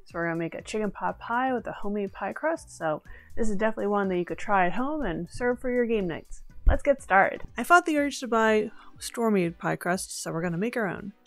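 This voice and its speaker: A woman's voice: mid-range voice, no room sound. A young woman hosting a home baking video, narrating a recipe step by step with playful asides. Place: home kitchen.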